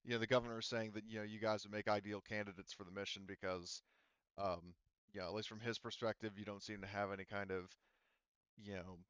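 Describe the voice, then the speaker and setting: Male game master, low smooth voice, hesitant conversational explaining, close dry sound with slightly dulled top end. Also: fairly even intonation, fast, fairly bright tone, no echo, dull audio.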